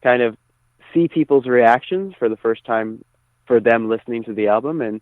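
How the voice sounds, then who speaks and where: A man's voice: medium pitch, moderate pace, no echo. A man chatting as an interview guest over the phone, choosing his words thoughtfully. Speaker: musician guest. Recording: tinny narrow phone line.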